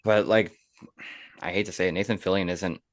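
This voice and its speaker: Low-voiced man chatting on a podcast. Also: fairly even intonation, fairly quick, no echo. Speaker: podcast host. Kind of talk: casual chat about movies.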